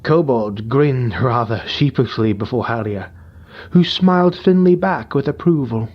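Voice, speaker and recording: A man narrating a dark fantasy audiobook in a steady, dramatic storytelling manner. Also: mid-range voice, measured pace, slightly rough. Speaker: narrator and writer. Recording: dull lo-fi recording.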